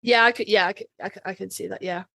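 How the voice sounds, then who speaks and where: A woman's voice: medium pitch, steady tone, fast talker, gravelly, pushed and bright, dry recording. A woman in her thirties co-hosting a podcast with casual, playful, exclamatory banter. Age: 30s. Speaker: podcast co-host.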